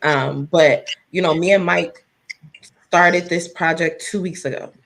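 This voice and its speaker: Chatty soft-voiced woman streaming live. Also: fairly low pitch, steady tone, a little slow, gravelly. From New Jersey. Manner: chatty, laughs often, talks straight to viewers. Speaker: singer and live stream host. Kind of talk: casual live stream chat.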